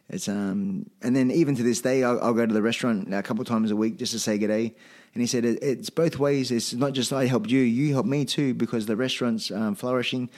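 A man's voice: on the low side, darkish tone. A middle-aged man with an Australian accent, telling personal anecdotes as a guest in a hesitant, modest, casual way. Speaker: podcast guest, veteran fighter.

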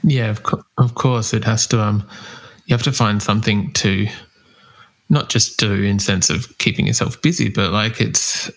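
A man hosts a casual interview with a low voice that has a gravelly edge. He rambles and pauses to search for his words. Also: rough recording.

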